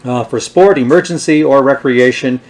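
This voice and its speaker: A man with a medium-pitched voice presents an informal product demonstration. He speaks without a script, in a halting and unhurried way, as someone showing items piece by piece. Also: a little mellow. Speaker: presenter showing vintage radio gear. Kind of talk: unscripted show-and-tell demonstration.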